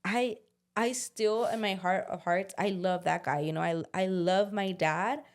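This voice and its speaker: A woman's voice: mid-range voice, a little slow. Candid young woman podcast co-host. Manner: casual, slangy, confessional, drops into quoted inner voices. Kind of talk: candid casual chat.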